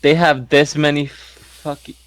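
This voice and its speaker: A man's voice: a little high, little pitch movement, unhurried, fairly bright tone, no room sound. A man playing a tabletop role-playing game, chatting casually and speaking for his character. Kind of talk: casual chat and in-character play.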